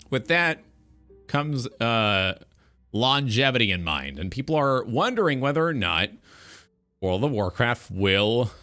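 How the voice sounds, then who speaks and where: Guy in his 20s hosting a talk stream, bright voice, kinda philosophical and earnest, light music behind him. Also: mid-range voice. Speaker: talk show host, game streamer. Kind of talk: reflective talk show monologue.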